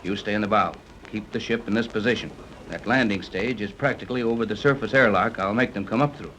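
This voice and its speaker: An actor playing a confident, decisive ship captain in a 1930s radio adventure serial. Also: male voice, on the low side, fast talker, a bit bright, close to an everyday voice. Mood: brisk, commanding. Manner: decisive, clipped orders. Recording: noisy 1930s radio recording.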